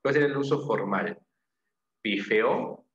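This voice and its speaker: A man's voice: medium pitch, expressive, a little slow, a bit bright. A man teaching an interactive language class, asking questions, repeating answers and confirming them with short praise and tag questions. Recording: echoey room, dull lo-fi sound, faint noise.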